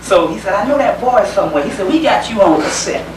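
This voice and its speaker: A man from South Carolina with a Southern US accent, giving an informal lecture in a folksy, conversational manner with pauses and repeated phrases. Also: sits high, expressive. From South Carolina, United States. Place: indoor lecture gathering. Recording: roomy, distant microphone, faint background noise.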